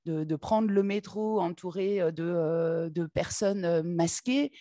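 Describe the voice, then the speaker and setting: A woman from Paris giving a conference talk at a table microphone, pausing often with fillers and restarting her phrases. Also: on the low side, warm tone, no room sound, clean studio sound. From Paris, France. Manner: hesitant, many filler "euh" and restarts. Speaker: essayist and conference speaker. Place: conference room, seated at a table. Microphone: table microphone on a stand.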